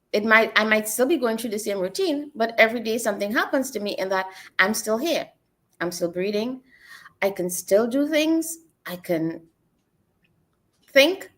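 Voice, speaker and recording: A woman's voice: fairly high pitch, fairly bright tone. A middle-aged woman from Trinidad and Tobago, a guest telling her life story in an earnest, reflective way. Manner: earnest, personal storytelling with repeated phrases. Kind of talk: reflective interview talk.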